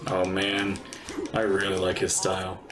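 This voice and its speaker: A man's voice: sits low, monotone, unhurried. A man giving casual live reactions to a video game as a streamer. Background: game music.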